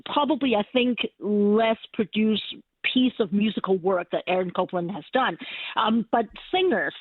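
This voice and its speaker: A woman's voice: medium pitch, moderate pace, dry recording. A woman from Hong Kong, an opera artistic director, explaining earnestly in a radio interview and often saying "you know, like.". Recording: dry, tinny phone line.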